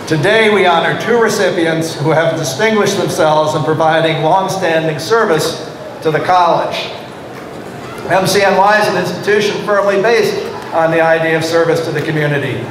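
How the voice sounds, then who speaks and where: A middle-aged man giving a formal, measured award presentation at a podium microphone during a graduation ceremony in a large auditorium. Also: fairly high pitch. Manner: measured, reads from prepared remarks. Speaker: college official presenting awards. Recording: echoey hall with crowd and noise.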